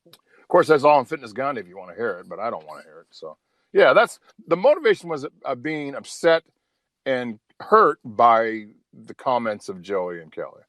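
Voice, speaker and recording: A man's voice: medium pitch, sing-song, moderate pace, fairly bright tone, dry recording. A man in his fifties chatting candidly on a call-in show, rambling, repeating himself and swearing freely. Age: 50s. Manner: rambling, repetitive, profane. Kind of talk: casual, candid chat.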